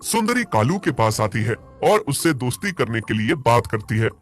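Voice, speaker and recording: A man's voice: mid-range voice, very animated, normal speed. A man narrating a scripted animal fable for a cartoon moral story. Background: music.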